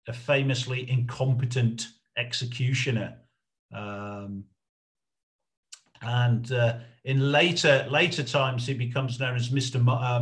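Male lecturer, middle-aged, talking slowly and haltingly through an informal history presentation on a video call. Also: mid-range voice, a bit bright. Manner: halting, hesitant, explanatory. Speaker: lecturer, researcher presenting a talk.

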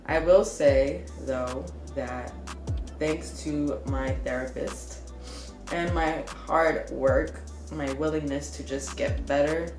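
A woman's voice: low-pitched, fairly even intonation, slow, reverberant, music in the background. A woman in her twenties talking casually to the camera while doing her makeup, a little congested, with plenty of "like" and "you know.". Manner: casual and candid, many "like" and "you know" fillers. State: slightly congested. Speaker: beauty vlogger. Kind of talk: chatty get-ready-with-me vlog. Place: small room with shelves, indoors.

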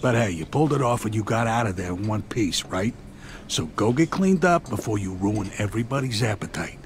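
An actor playing a middle-aged, world-weary, profane mobster underboss in a game cutscene, bossy and streetwise. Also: male voice, lowish voice, expressive, a little fast, slight background noise, natural voice. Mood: wary, bossy. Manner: streetwise, bossy, exasperated slang.

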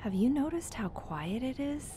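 An actress playing a frightened young bank teller on the run in a period suspense audio drama, hesitant and fretful. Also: female voice, high voice, sing-song, normal speed, mellow, slight background noise. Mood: uneasy.

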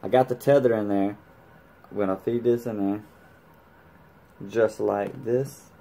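A man with a fairly low voice walks through gear in a casual vlog tutorial. His talk is colloquial and conversational, dotted with playful verbal sound effects. He speaks indoors in a small room at home. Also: fairly even intonation, measured pace, creaky, dark tone, slight background noise. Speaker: motorcycle vlogger demonstrating gear. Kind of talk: casual hands-on tutorial chat.

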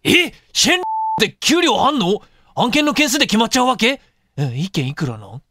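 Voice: medium pitch, sing-song, pushed and bright, no echo, studio quality, exaggerated voice. A performer playing a cheeky, incredulous young man with slangy, exclamatory speech in a comedic voice drama. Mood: cheeky, curious. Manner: slangy, quick-reacting, exclamatory.

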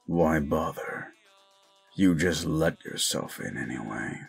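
A man playing a jaded, reclusive werewolf in an audio roleplay drama, gruff, curt and sardonic. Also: extremely low pitch, slow pace, faint music behind, heavily acted. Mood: curt, bitter. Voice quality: gruff, gravelly.

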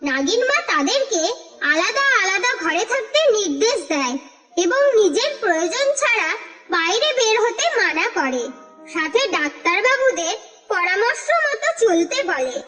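Female cartoon narrator, very high, animated delivery, reverby with soft music bed. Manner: steady, expressive storytelling. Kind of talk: scripted storybook narration.

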